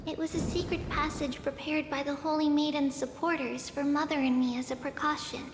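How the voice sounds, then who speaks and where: High-voiced, composed young girl guide. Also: flat delivery, big-room sound, over music. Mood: calm, solemn. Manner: measured, knowing, earnest. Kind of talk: dramatic game voice acting.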